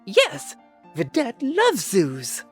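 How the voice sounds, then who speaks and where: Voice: medium pitch, huge pitch swings, very slow, faint music behind, exaggerated voice. An actor playing a polite, eager alien host with formal, precise phrasing in an audio drama. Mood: eager, pleased.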